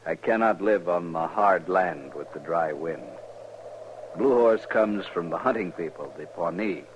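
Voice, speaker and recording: An actor playing a dignified, stoic man in a 1950s radio western, speaking in solemn, formal, measured phrases. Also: sits low. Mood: solemn, resolute. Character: dignified, stoic Pawnee man longing for his northern homeland. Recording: dull, noisy old radio recording.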